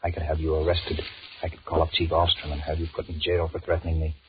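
A man's voice: extremely low pitch, monotone, fast. An actor playing a nervous middle-aged married businessman, blustering and stammering, in 1940s radio drama style on a muffled, noisy old recording. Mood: brooding, indignant. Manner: stammering aloud, muttering anxious repeated thoughts. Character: panicky married businessman, blustering and cowardly, secretly scheming. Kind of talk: 1940s radio horror acting with inner monologue.

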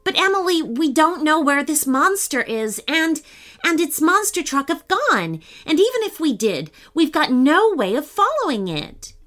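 A woman's voice: high-pitched, big pitch swings, measured pace, a bit bright, faint music behind, pitched above the performer's own voice, exaggerated voice. A woman voicing a young boy, an anxious and questioning big brother, in a children's story. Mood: doubtful. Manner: questioning, concerned.

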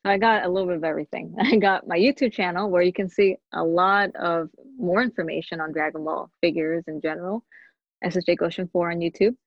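Woman, podcast guest, lowish pitch, easygoing giggly chat, dull dry remote audio. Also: fairly even intonation, fairly quick, no room sound. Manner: easygoing, giggly, many fillers. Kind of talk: casual chat.

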